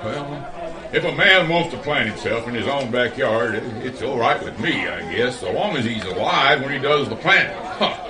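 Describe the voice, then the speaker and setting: Radio play police chief male actor: officious, folksy, mid-pitched, off-mic over crowd sound. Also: animated, moderate pace, far from the mic, close to an everyday voice. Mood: reassuring, pompous. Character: blustery, self-important small-town police chief. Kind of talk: community radio theater acting.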